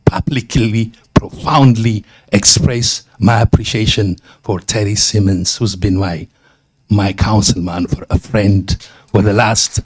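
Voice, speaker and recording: A man with an Ethiopian accent giving an earnest, emphatic public speech at a civic meeting, halting often between phrases. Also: fairly low pitch, darkish tone, slightly rough, no echo. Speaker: community member seconding a nomination.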